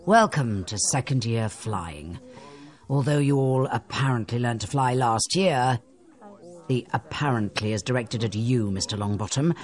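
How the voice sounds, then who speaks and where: An actor playing a brisk, strict flying teacher with a British accent and a dry, commanding manner in a video game. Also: medium pitch, faint music behind, close to an everyday voice. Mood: brisk instruction. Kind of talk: video game cutscene acting.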